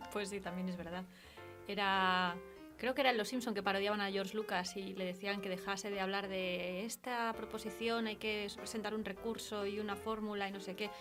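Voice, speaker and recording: A woman's voice: mid-range voice, fairly even intonation, moderate pace, over music. A woman with a Spanish accent from Spain, interviewing in a casual, chatty and agreeable way as a radio host.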